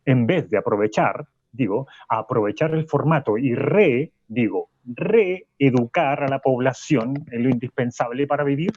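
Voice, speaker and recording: A man's voice: medium pitch, a little slow, a little mellow, no room sound, lo-fi sound, lightly acted. An actor playing a male professor guest who argues insistently, repeating himself and politely interrupting, in a radio drama.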